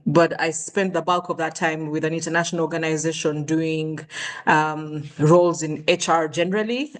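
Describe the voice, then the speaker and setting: A middle-aged woman with a Ugandan accent, speaking informally as a guest in a measured, hesitant way. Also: deep voice. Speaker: guest speaker, learning consultant. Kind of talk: informal self-introduction to an online audience.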